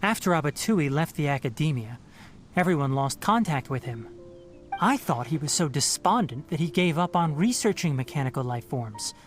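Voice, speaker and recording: A man plays a sharp young forest ranger scholar who reasons aloud calmly and carefully. His voice is lively in its rise and fall, with faint game music under it. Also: a little high, normal speed, lightly acted. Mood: thoughtful. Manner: calm, analytical, thinking aloud. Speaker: investigator examining tape records. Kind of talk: video game voice acting.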